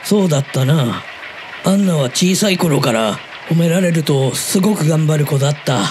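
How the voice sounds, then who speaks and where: High-pitched, easygoing middle-aged uncle played by a man. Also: lively intonation, normal speed, noisy background, lightly acted. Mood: encouraging, easygoing. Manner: casual, blunt, chatty. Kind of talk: Japanese audio drama dialogue.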